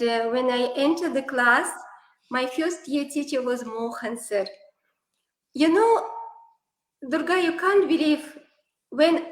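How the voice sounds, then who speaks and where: A woman's voice: high voice, reverberant, poor audio. A woman from Kazakhstan with a Kazakh accent, a native Kazakh speaker, telling stories in non-native English with many fillers as an interview guest. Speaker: guest, classical dancer. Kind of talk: online interview.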